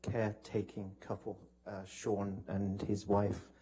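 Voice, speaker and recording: Male witness, low-pitched and mellow, polite and hesitant, flat intonation, for courtroom testimony. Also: takes it slowly, a little hiss or hum, low-quality recording. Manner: polite, hesitant, broken phrasing. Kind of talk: courtroom testimony, recounting from memory.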